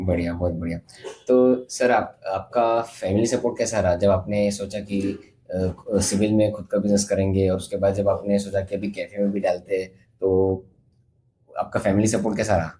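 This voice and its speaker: Mellow-voiced Indian male podcast host. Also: sits low, fairly even intonation, normal speed, slight background noise. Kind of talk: conversational Hindi-English interview.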